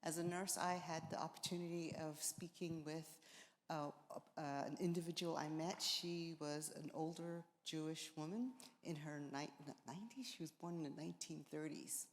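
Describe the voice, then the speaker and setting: An older woman, a veteran nurse, giving measured personal remarks to an audience. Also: low-pitched, steady tone. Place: large indoor hall at a live event. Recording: echoey hall with long reverb.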